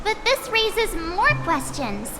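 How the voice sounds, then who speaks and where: Very high-pitched inquisitive companion. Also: female voice, big pitch swings, takes it slowly, heavy background noise, exaggerated voice. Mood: pondering. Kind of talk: video game voice acting. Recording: game music under the voice.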